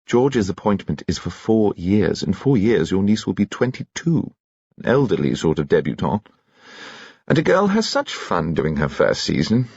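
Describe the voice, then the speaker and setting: A man's voice: deep voice, sing-song, gravelly, a little mellow, breathy edge, no echo, muffled recording, full character voice. A man voicing a spirited, teasing elderly society mother who talks emphatically. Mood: playful. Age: older. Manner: brisk, emphatic, playful.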